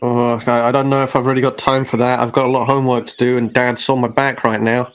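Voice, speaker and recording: A man's voice: mid-range voice, level tone, fast talker, clean tone, close to an everyday voice. A man playing an awkward, flustered teenage schoolboy in a tabletop game, stammering and evasive. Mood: reluctant.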